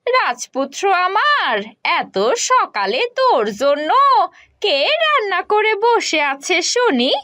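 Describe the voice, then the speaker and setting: A woman playing a sarcastic, dramatic village mother from a folk-tale cartoon, scolding in drawn-out phrases. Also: very high voice, very animated, pushed and bright, dry recording, clean studio sound, exaggerated voice.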